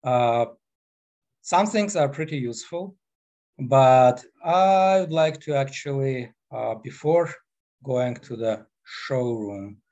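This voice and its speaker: A man in his forties from Armenia with an Armenian accent, giving an online presentation on a headset mic in an unhurried, halting way full of fillers. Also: medium pitch, darkish tone, dull audio. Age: 40s. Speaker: guest presenter. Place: small room, indoors. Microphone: headset microphone.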